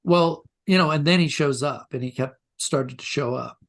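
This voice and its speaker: A man's voice: a little high, moderate pace, gravelly, fairly bright tone. Self-deprecating older American man telling stories. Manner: self-deprecating, reflective storytelling. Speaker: interview guest, writer. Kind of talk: conversational anecdote-telling in an interview.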